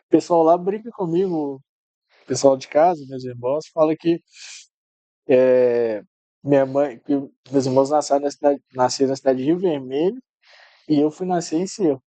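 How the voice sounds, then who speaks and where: Mellow-voiced man telling family stories. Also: a little high, moderate pace, pauses often, dry recording. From Minas Gerais, Brazil. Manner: storytelling, frequent restarts and repeated words. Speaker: podcast guest. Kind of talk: casual interview chat.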